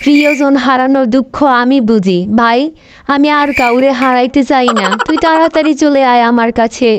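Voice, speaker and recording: High-pitched bossy cartoon grandmother voiced by a woman. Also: normal speed, natural voice. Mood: doting, sorrowful. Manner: scolding, melodramatic, gushing over her brother. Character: bossy elderly grandmother politician, doting sister, nagging wife. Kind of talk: comic cartoon dubbing. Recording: noisy amateur cartoon dub with clicks.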